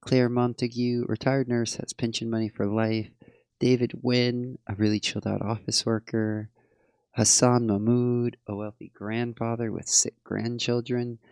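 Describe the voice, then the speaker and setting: A hoarse man casually thinking aloud and tallying numbers while commenting on his game. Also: medium pitch, flat delivery, measured pace, darkish tone, no echo. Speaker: game player. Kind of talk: let's play commentary.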